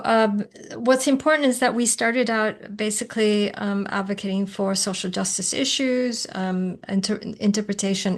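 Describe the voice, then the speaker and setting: A woman from Malaysia gives a panel presentation over a video call, often pausing on fillers as she shares her screen. Her voice is of middling pitch with a noticeable creak and fry running through it. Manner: hesitant, many fillers while sharing her screen. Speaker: founder and president of a nonprofit.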